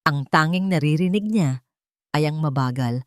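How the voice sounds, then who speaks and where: A woman narrating a scripted drama in short, measured, emotive phrases. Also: low-pitched, dry recording, clean studio sound.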